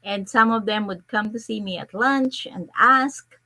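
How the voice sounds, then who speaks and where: A woman's voice: mid-range voice, measured pace. Chatty Filipino-accented woman author. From Philippines. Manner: chatty, lively, quotes people in animated voices. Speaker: guest, children's book author. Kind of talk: casual interview conversation.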